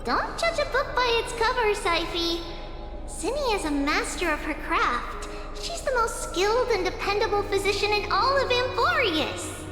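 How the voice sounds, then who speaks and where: A woman voicing a gentle, caring and teasing girlish big-sister character in a video game, speaking affectionately and without hurry. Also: extremely high pitch, very animated, moderate pace. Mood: fond teasing. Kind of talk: English video game voice acting. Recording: echoey hall reverb under music.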